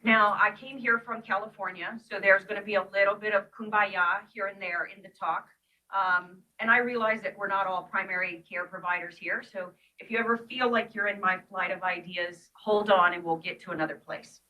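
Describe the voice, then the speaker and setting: Woman, middle-aged, from East Texas, conversational lecturer, bright tone, somewhat far from the mic. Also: mid-range voice, fairly even intonation, normal speed. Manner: conversational, storytelling, self-deprecating asides. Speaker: guest lecturer, vascular surgeon. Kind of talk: grand rounds lecture. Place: lecture hall.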